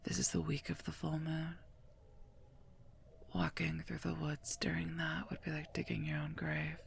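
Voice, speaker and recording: A woman voicing a sardonic female werewolf knight and mercenary bodyguard in an ASMR roleplay, husky and gravelly, dry and curt. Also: deep voice, completely flat delivery, measured pace, a little hiss or hum, full character voice. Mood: irritated, sarcastic. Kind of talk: scripted ASMR roleplay, addressed to listener.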